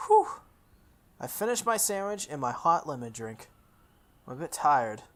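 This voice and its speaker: Woman, low-pitched, visual novel reading, expressive, quick asides, stumbles and restarts. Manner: expressive, self-correcting reading. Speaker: game streamer reading a visual novel aloud.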